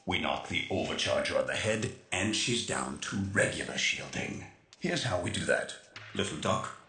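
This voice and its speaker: A man acting as an analytical tech strategist for a resistance crew, explaining in a precise, measured way in a video game. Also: low-pitched, lively intonation, gravelly, pushed and bright, exaggerated voice. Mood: explanatory. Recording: echoey, music underneath. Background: music.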